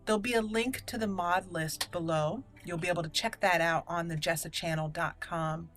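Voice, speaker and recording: A woman's voice: on the low side, steady tone, normal speed, fairly bright tone, soft background music. A woman giving casual game commentary in a chatty, explanatory manner. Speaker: gaming video host.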